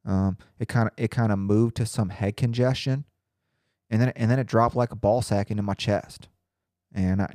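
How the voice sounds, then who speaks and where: A man's voice: fairly low pitch, gravelly, dark tone, dry recording, clean studio sound. A young man hosting his own podcast in casual, rambling, profane talk, a little congested and nasal from a cold. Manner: profane, rambling, laid-back. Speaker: podcast host.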